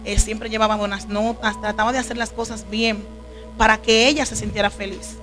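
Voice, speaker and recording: A woman's voice: fairly high pitch, flat delivery, a little fast, music in the background. A woman from the Dominican Republic with a Dominican accent, a preacher telling her life story in an interview, earnest and emotional. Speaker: guest, preacher. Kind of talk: testimony interview.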